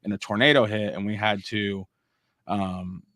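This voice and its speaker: Chatty podcast host, man in his late thirties; lowish voice, rambling casual delivery, dry sound. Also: steady tone, measured pace, a bit bright, no echo. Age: 30s. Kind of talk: casual chat with live chat readouts.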